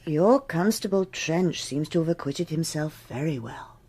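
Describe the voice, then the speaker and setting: A woman's voice: low-pitched, animated, unhurried, warm tone, a little hiss or hum. Stern, clipped senior policewoman. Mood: brisk, businesslike. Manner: clipped, formal, authoritative. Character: stern, exacting senior policewoman, dryly impatient. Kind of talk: radio crime drama acting.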